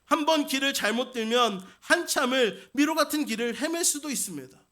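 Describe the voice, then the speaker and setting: A man's voice: extremely high pitch, expressive, brisk, bright, forceful tone. A man preaching a Sunday sermon as senior pastor, putting questions to the congregation and repeating key points for emphasis. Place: church service.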